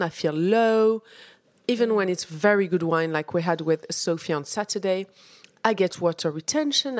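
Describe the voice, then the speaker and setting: A woman's voice: medium pitch, darkish tone, dry recording, clean studio sound. A woman co-hosting a casual chat podcast, explaining health facts with conviction. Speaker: podcast co-host.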